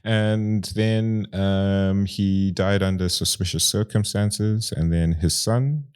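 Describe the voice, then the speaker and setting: A man's voice: sits low, steady tone, takes it slowly, darkish tone, clear, resonant tone. A young Zimbabwean man with a Zimbabwean accent, a guest in a casual conversation, talking in a rambling way with many fillers and restarts.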